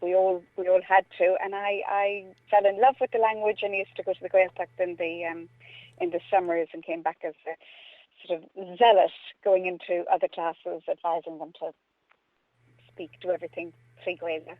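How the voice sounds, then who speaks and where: A woman's voice: on the low side, little pitch movement, moderate pace, no echo. Irish woman, chatty, on dull narrow audio. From Limerick, Ireland. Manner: playful, chatty, rambling. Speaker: actor, interview guest. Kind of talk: casual conversational interview.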